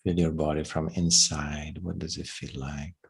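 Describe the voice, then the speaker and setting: A man leading a guided meditation in a gentle, unhurried way, with long pauses between phrases. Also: a bass voice, flat delivery, creaky, husky.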